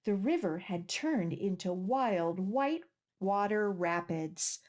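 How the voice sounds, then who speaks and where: A woman with a medium-pitched, very lively voice hosts storytime for young children. She takes her time over each phrase, speaking deliberately and plainly as she reads picture books and leads simple rhymes. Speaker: children's library storytime host.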